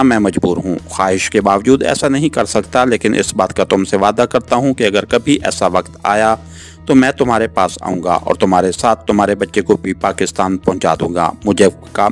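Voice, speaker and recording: Guy reading as a young spy in an audio novel, earnest n respectful, kinda low voice, music behind. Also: normal speed, natural voice. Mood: regretful, earnest. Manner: earnest, pleading, polite. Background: background music.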